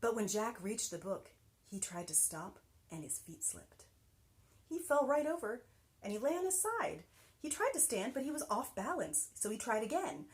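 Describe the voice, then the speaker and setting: A woman teacher reading a children's book aloud with expressive, animated delivery and soft whispered passages. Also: a little high, normal speed.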